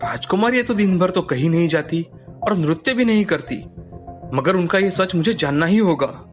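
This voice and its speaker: A man playing a calm, brave young fairy-tale hero in children's story dialogue, speaking earnestly. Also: high voice, moderate pace. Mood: pondering. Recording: long hall reverb, music underneath.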